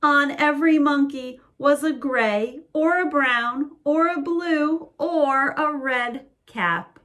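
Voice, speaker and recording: A woman reading a picture book aloud as a bedtime story, in a slow, soothing, expressive way. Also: high voice.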